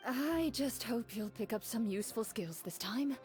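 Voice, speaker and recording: A woman voicing a composed female duelist, speaking calmly and formally in video game dialogue. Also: a little high, soft background music, close to an everyday voice. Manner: calm, measured, formal.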